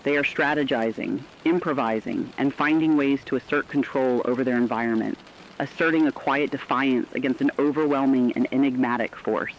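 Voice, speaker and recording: A man's voice: medium pitch, a little hiss or hum, lightly acted. Dull-sounding, earnest radio correspondent played by a man. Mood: earnest, solemn. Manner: formal, measured, earnest. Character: earnest, thoughtful emergency radio correspondent reporting on an apocalypse. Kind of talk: scripted dramatic broadcast narration.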